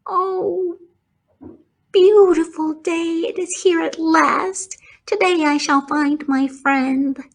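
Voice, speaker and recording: Woman as young male pig, high voice, earnest, formal, eager, children's storybook. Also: steady tone, takes it slowly, full character voice. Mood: hopeful delight. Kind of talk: children's storybook read-aloud.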